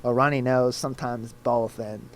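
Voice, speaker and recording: Man, co-host, slightly stuffed up, measured casual explaining, mid pitch. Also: steady tone. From Michigan. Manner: measured explanations with some fillers. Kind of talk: casual news discussion.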